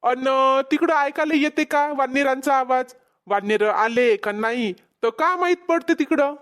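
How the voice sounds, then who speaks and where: A woman's voice: high-pitched, a little slow. A woman voicing a concerned, reasoning village farmer husband in a cartoon comedy, with a Vidarbha Marathi accent. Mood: placating, explaining. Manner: placating, explaining, gently chiding.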